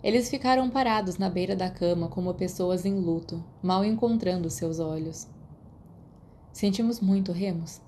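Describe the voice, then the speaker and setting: Female audiobook narrator, Brazilian accent, warm dark timbre, scripted fiction, light background noise. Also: on the low side, moderate pace, hardly any pauses.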